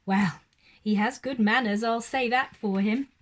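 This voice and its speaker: A woman voicing a stern, brisk housekeeper who is fierce but kind at heart, given to exclaiming. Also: fairly high pitch, natural voice.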